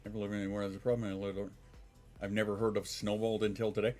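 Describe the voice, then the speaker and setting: A man's voice: fairly low pitch, level tone, quick pace, darkish tone, soft background music. A man in his thirties, congested and sniffling, chatting casually on a live stream, rambling, swearing and reacting to chat. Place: home.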